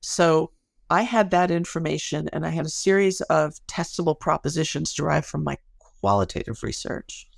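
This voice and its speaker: A woman's voice: low voice, expressive, normal speed, vocal fry, no room sound, clean studio sound. A middle-aged woman speaking as an academic interview guest, explaining things in a thoughtful, careful way and often correcting herself. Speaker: podcast guest, academic researcher.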